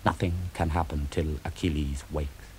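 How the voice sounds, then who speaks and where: A man narrating an epic poem in a scripted reading, with a measured and dramatic delivery. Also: extremely low pitch, fairly even intonation, slight background noise.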